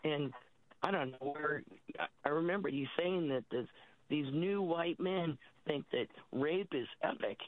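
An American woman calling a radio show over a tinny phone line, husky from a cold, chatting in a halting, rambling way. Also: low voice. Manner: halting, searching for words. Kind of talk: casual, rambling phone-in chat. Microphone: telephone.

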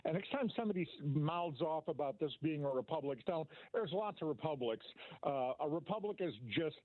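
An American man calling in to a radio show over the phone, chatting casually and haltingly as he searches for words. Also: fairly high pitch, animated, no room sound. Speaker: regular radio show caller. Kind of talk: casual political chat. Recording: tinny phone line.

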